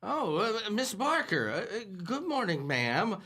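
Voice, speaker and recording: A man's voice: high-pitched, huge pitch swings, unhurried, over loud music, full character voice. A young man playing a bashful, timid store clerk in a radio play, stammering and repeating his words. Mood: nervous. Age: young adult. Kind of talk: 1940s radio acting.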